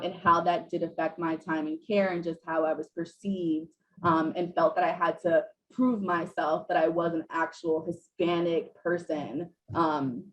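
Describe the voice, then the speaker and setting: Low-voiced woman co-presenting a webinar. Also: poor audio. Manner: thoughtful, reads definitions clearly. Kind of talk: presenting an online training webinar.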